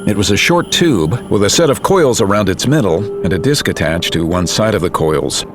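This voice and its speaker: A man giving steady, measured scripted narration for an audio drama. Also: fairly low pitch. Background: music.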